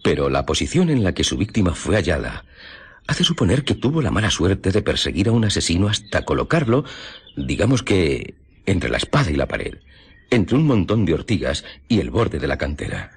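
Grave male investigator, Spain accent, radio drama. Very low, very husky, formal and methodical. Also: big pitch swings, moderate pace, full character voice. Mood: grave, measured. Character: grave male police investigator recounting a murder. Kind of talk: Spanish radio drama acting.

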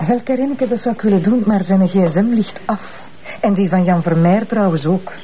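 A woman's voice: mid-range voice, normal speed, warm tone, lightly acted. An actress playing an insistent, impatient female superior with a Flemish accent in a television crime drama. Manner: pressing, demanding. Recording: clear background room noise.

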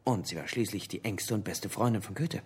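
An actor playing a male literary scholar in a radio crime drama, explaining theories in a husky, didactic way. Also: fairly low pitch, fairly quick. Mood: explaining, intrigued. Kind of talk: German radio play dialogue.

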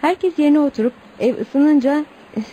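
High-voiced earnest young bride-to-be. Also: female voice, a little slow, muffled recording. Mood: storytelling. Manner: earnest, theatrical storytelling. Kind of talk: Turkish radio theatre acting. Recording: noisy old radio drama recording.

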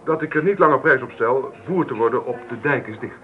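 An actor playing a stubborn young student son in a radio drama, halting and formal yet determined. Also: male voice, medium pitch, close to an everyday voice. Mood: nervous, determined. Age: young adult. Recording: tinny old radio recording.

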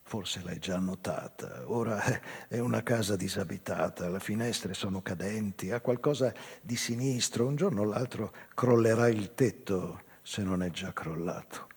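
An actor playing a wistful, intense man recounting an old love in a deliberate theatrical reading, with pauses and a voice that can sink toward a whisper. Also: on the low side, creaky, a little mellow, breathy edge, heavily acted. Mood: haunted, longing. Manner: slow, deliberate, pauses, sometimes dropping to near whisper. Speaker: actor narrating a literary monologue. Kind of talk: theatrical dramatic reading of a first-person story. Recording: reverberant hall, live guitar music behind. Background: plucked guitar music.

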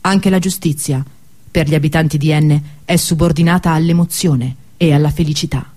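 A woman narrating a scripted literary travel tale for radio theatre, with measured, deliberate phrasing. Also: low-pitched, steady tone, a little mellow.

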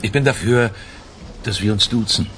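Radio crime drama: male actor as composed, self-assured senior detective, very low register, deliberate pauses. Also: a bit bright, slight background noise, close to an everyday voice. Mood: polite, confident. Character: self-assured male police lieutenant colonel from economic crimes. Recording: music playing behind the voice.

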